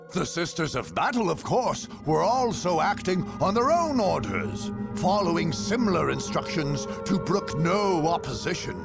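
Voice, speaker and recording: An actor playing a grave, stately war chronicler, narrating a video game in a measured, formal, theatrical manner. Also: male voice, fairly high pitch, vocal fry. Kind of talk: scripted dramatic game narration.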